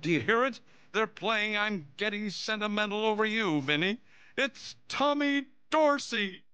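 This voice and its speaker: A man's voice: high-pitched, animated, a little slow, clear, resonant tone, full character voice. An actor playing a stubborn older man in a vintage radio drama, commanding and indignant. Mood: elated. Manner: indignant, commanding, then overjoyed shouting. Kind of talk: 1950s radio drama acting.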